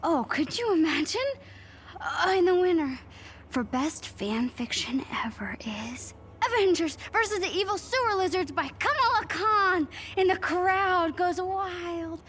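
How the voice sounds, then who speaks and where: A woman's voice: extremely high pitch, animated, measured pace, a bit bright, slight background noise, full character voice. A woman voicing a nervous, eager, geeky teenage girl fan in a video game, gushing and youthful. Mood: excited. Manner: gushing, self-talking, youthful.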